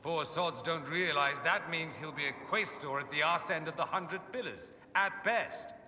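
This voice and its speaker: An actor playing an urbane, witty, self-assured aristocrat mage in a video game, articulate, theatrical and sardonic. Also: male voice, a little high, moderate pace, bright, forceful tone. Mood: wry, explanatory. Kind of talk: video game dialogue acting. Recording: echoey hall with ambient noise.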